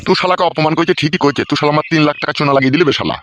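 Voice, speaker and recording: A man's voice: on the high side, rapid-fire, background noise, close to an everyday voice. A man voicing an angry, bitter older father in a comedy cartoon, scolding and cursing.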